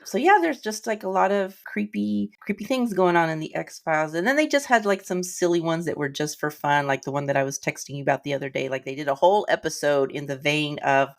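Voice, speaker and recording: A woman's voice: low voice, animated, a little fast, hardly any pauses. A middle-aged woman co-hosting a podcast, talking casually about films and TV with dry, teasing humor. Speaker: podcast co-host.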